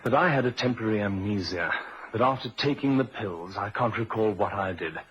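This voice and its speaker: Muffled old radio drama, actor playing troubled husband, lowish male voice, uncertain, earnest, hesitant. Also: lively intonation, fairly quick, lightly acted. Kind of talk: vintage radio drama acting. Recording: muffled, noisy vintage radio recording.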